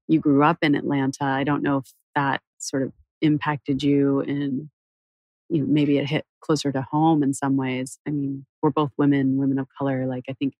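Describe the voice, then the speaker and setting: A woman hosting a podcast conversation, gently prompting and supportive. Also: rumbling low, no room sound. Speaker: podcast host. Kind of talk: casual conversation.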